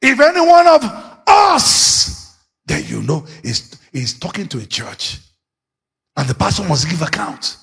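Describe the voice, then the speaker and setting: Husky preacher with wild swings. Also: male voice, wildly swooping pitch, a little slow. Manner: preaching with sudden shouts and dramatic swings in pitch. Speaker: pastor. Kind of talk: sermon.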